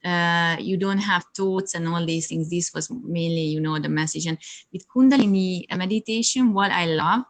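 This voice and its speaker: Soft-spoken Hungarian woman, halting speech. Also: on the low side. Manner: hesitant, many fillers, laughs often. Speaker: yoga teacher, guest. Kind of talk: casual interview chat.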